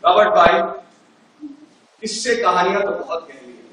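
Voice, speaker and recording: Bright-voiced man echoing in a hall. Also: high-pitched, fairly even intonation, normal speed, a little hiss or hum. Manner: nostalgic, jokey letter-writing tone. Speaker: stage actor reading a letter. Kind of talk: stage play monologue. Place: large auditorium. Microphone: unamplified stage, far from the recorder. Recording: echoey hall from far off.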